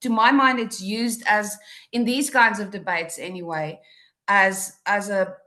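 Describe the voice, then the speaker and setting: A woman's voice: mid-range voice, fairly even intonation, measured pace, sharp tone. A woman from South Africa whose first language is Afrikaans, answering as a panelist in an academic discussion over a video call, tentative and correcting herself.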